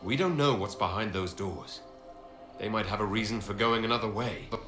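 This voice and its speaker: A man's voice: lowish voice, moderate pace, lightly acted. An actor playing a questioning, pragmatic fantasy hero leading his party in a video game. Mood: wary. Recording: roomy echo, music behind.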